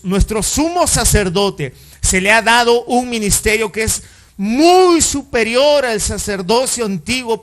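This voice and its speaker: A man's voice: sits high, a bit bright. A man preaching a sermon as pastor, talking conversationally and often putting rhetorical questions to the congregation, with fillers and self-corrections along the way.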